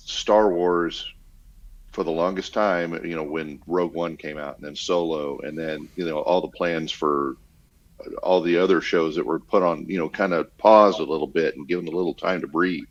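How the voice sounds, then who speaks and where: A man's voice: low-pitched, steady tone, moderate pace. A man chatting casually as a panellist on a geek podcast. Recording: dull, muffled lo-fi audio.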